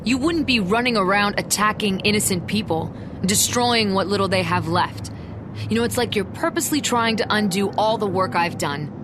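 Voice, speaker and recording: A woman's voice: medium pitch, lightly acted. An actress playing a hurt, firm young woman in a game, speaking in a serious, accusing way. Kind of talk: dramatic game dialogue. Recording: clear background noise in game audio.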